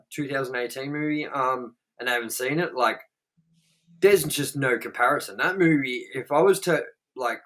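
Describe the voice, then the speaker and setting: A man's voice: mid-range voice, sharp tone, low-quality recording. A man with an Australian accent, co-hosting a movie review chat, blunt and profane, rambling with lots of fillers.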